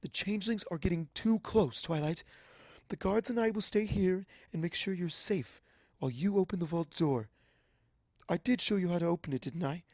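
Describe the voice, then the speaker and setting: A man's voice: high-pitched, normal speed, no echo, pitched above the performer's own voice. A man voicing a regal, commanding female pony princess, a stately mentor, in a storybook reading. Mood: pressing, urgent. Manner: stately, addressing a student. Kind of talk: storybook character voice.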